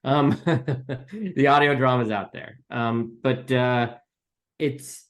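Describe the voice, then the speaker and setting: A man's voice: medium pitch, takes it slowly. A man chatting casually as an interview guest and writer, with loose, tentative phrasing padded with conversational asides. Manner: loose, tentative phrasing full of "like" and "you know". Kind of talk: casual chat.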